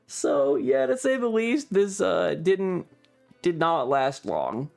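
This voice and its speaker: A man's voice: high-pitched, very animated, takes it slowly, vocal fry. A man streamer casually recounting a trip in a rambling, sweary, amused way, with music behind him.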